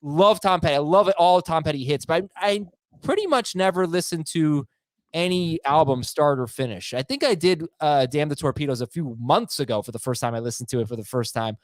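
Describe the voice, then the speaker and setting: A man's voice: on the high side, brisk, no room sound. A man co-hosting a podcast, joking and self-deprecating, with many fillers. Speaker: podcast co-host. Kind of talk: casual podcast chat.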